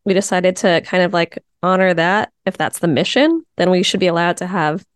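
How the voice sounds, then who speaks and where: A woman's voice: lowish voice, brisk, smooth, dry recording. A woman in her thirties, a comedian chatting casually as a podcast guest, laughing easily and using many filler words. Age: 30s.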